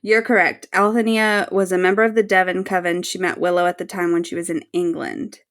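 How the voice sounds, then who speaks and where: A woman's voice: on the low side, little pitch movement, brisk, a bit bright. A woman co-hosting a podcast, casually explaining and recapping a story in an informal way. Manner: informal, sweary, laughs readily. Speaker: podcast co-host.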